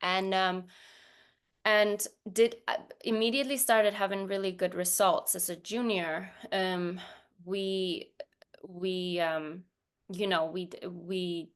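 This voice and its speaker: A woman's voice: fairly low pitch, fairly even intonation, slow pace, clean tone. Informal, hesitant woman from Iceland. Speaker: podcast guest, professional dancer. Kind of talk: casual conversational interview.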